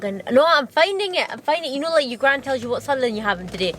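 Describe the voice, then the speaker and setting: A woman's voice: high-pitched, animated, racing, a bit bright. A woman from Scotland, chatting playfully and laughing often in a casual vlog. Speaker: vlogger. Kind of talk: casual chat. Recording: noisy with car and street ambience.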